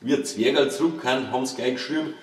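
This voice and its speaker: A man's voice: medium pitch, quick pace, some chatter behind. A man with a Bavarian accent reading his own comic verse tales aloud, playfully, with wry asides. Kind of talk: comic verse storytelling in dialect, read aloud live. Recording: roomy live recording, distant mic, faint noise.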